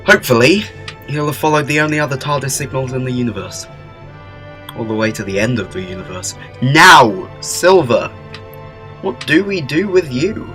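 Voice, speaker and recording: A man's voice: medium pitch, soft background music, close to an everyday voice. A man playing a whimsical, upbeat alien time traveller with a playful manner in a fan audio drama. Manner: playful, animated, quick shifts.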